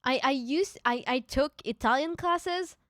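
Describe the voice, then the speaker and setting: A woman's voice: high voice, measured pace, fairly bright tone, no echo, clean studio sound. A young woman from France, chatting casually with viewers on a live stream, often stammering and restarting her words with little giggles. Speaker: VTuber streamer.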